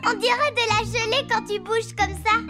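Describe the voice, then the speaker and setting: A woman's voice: very high-pitched, steady tone, normal speed, over loud music. A woman voicing a cheerful, curious little girl piglet in a children's cartoon, with childlike exclamations. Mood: playful.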